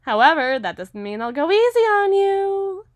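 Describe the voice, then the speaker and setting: A woman's voice: very high voice, sing-song, normal speed, noisy background. A woman playing a condescending, possessive aristocratic huntress in a dramatic audio roleplay, speaking in formal, emphatic, theatrical phrases. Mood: possessive, menacing.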